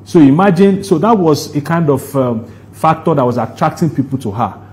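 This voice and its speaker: A man's voice: on the high side, lively intonation, moderate pace, darkish tone. A man with a Nigerian accent speaking as a producer in an interview, recounting at length and repeating words for emphasis. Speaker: interview guest, stage play producer.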